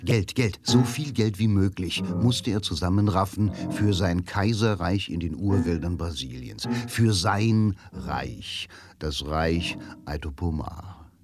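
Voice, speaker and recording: Male narrator for radio drama: deep, unhurried, deliberate clipped phrasing over clear music. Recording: music behind the voice.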